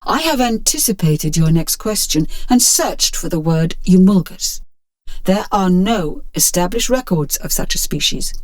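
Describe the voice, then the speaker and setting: A woman plays a male supercomputer in an audio story. The machine speaks formally and precisely, announcing facts in a measured, matter-of-fact way. The recording is dry and close. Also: on the low side, expressive, a little mellow, no echo.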